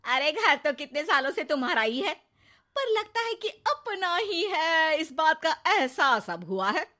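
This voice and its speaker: Woman doing a naggy cartoon mom, super high voice, sarcastic, dramatic, feels ignored by everyone. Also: very animated, unhurried, bright, forceful tone, heavily acted. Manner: dramatic exclamations, biting rhetorical questions. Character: neglected, talkative, sarcastic nagging housewife and mother. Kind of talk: Hindi cartoon moral story acting.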